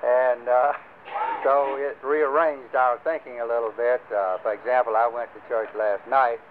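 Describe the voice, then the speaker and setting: An older man leading a church group, talking casually with many pauses and fillers. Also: mid-range voice, fairly even intonation. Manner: rambling, many fillers and restarts. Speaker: church class leader. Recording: lo-fi phone-like recording with faint hiss.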